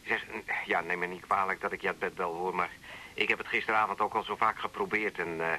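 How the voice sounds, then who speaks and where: An actor playing an older father calling his daughter in a radio drama, halting and apologetic. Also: low-pitched, fairly even intonation, pushed and bright, slight background noise, poor audio. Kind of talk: 1980s radio drama acting.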